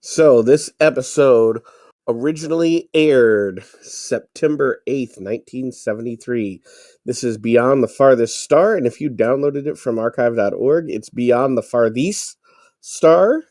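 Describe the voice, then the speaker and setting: A man with a mid-pitched voice co-hosts a podcast in an informal, explanatory style. The sound is dry and close, with casual chat delivered at an ordinary pitch. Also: a little slow, a little mellow, dry recording. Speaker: podcast co-host.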